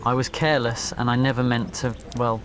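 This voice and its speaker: A man's voice: mid-range voice, flat delivery, fairly quick, natural voice. A man voicing a proud, awkwardly smitten teenage wizard schoolboy in an audio roleplay, with a muttering, hesitant manner. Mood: sheepish.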